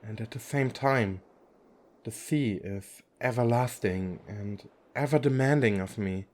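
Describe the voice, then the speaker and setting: A man acts out a gentle, weary young femboy sea god in an ASMR roleplay. He talks slowly in a low-pitched, soft voice, hesitant and kind, with a playful streak. The delivery is intimate, with many pauses. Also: natural voice. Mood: weary, troubled. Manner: soft, hesitant, with frequent pauses and small chuckles.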